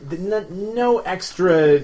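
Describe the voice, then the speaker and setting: A man's voice: high voice, lively intonation, slow pace, mellow. A man co-hosting a podcast in casual, opinionated group chat, often drifting into side comments. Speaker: podcast co-host.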